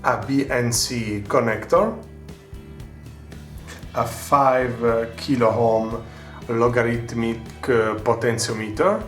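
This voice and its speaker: Slow, careful Italian-accented man. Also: medium pitch, fairly even intonation, a bit bright. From Italy. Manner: slow, careful, many filler sounds. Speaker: hobbyist presenter. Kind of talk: unboxing a radio kit. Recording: echoey room, faint music behind.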